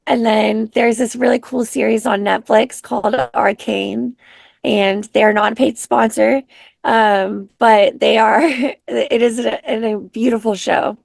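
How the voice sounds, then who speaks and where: Raspy, gravelly-voiced woman guest. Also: on the high side, fairly even intonation, dry recording. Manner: soft-spoken, unhurried, many ums. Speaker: guest, singer-songwriter. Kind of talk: casual interview chat.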